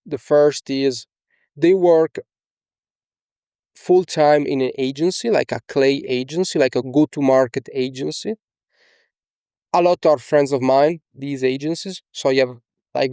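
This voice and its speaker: A man's voice: on the high side, measured pace, dark tone, clean tone, no echo, clean studio sound. A young man from Italy with an Italian accent, talking casually as a coach in a screen-share tutorial, often tagging on "okay?" and fillers. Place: small indoor room.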